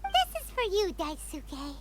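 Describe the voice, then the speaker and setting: Very squeaky, excitable tiny fairy. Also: female voice, unhurried, heavy background noise, heavily acted. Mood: calm. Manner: squeaky, childlike, speaks of self in third person. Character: tiny excitable female floating fairy companion. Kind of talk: video game character acting. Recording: music behind the voice.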